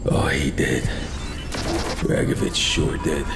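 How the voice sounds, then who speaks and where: Deep-voiced bitter captive soldier. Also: male voice, little pitch movement, slow. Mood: bitter, weary. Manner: bitter, sardonic. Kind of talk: video game cutscene acting. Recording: under loud dramatic music.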